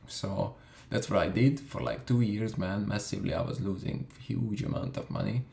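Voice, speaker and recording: A man's voice: fairly low pitch, normal speed, creaky, a little mellow. A young man from Hungary tells his story in a casual, profane way, with lots of fillers. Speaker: vlogger. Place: room in London. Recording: echoey room.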